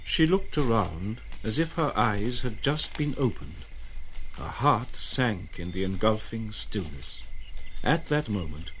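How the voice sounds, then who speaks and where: A man reads dramatic literary narration for an audio drama. His voice is on the low side. Background ambience and noise can be heard behind the scripted storytelling. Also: very animated, takes it slowly, fairly bright tone, poor audio.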